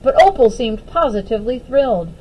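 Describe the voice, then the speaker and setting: A woman reading a children's picture book aloud as an expressive storyteller. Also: fairly high pitch, fairly quick, heavy background noise.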